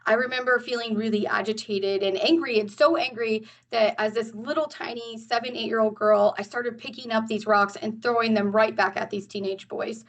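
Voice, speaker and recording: A woman's voice: a little high, fairly even intonation, moderate pace, hardly any pauses. A woman in her forties from Northern California, giving an earnest presentation at a community meeting, with frequent fillers and restarts. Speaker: guest presenter. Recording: distant from the mic.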